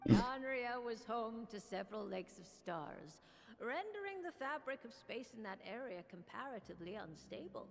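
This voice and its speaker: A woman playing a smug, teasing witch mentor in a video game, boastful and theatrical, vain about her own genius. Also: medium pitch. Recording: long echoing reverb on voice.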